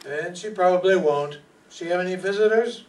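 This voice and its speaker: A man's voice: sits high, moderate pace, darkish tone, lightly acted. Older man playing a wry hardboiled detective, distant mic. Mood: dry, businesslike. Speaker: amateur radio play actor. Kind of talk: hardboiled radio detective narration and dialogue. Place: small hall stage, indoors. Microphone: stand microphone, recorded by far camera. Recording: noisy, distant hall PA recording.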